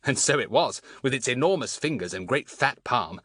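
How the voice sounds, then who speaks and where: A man's voice: mid-range voice, animated, moderate pace, fairly bright tone, no room sound, studio quality, voice pushed up. A man voicing a clever, boastful schoolboy in a children's audiobook, chatting with self-satisfied relish. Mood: gleeful, boastful.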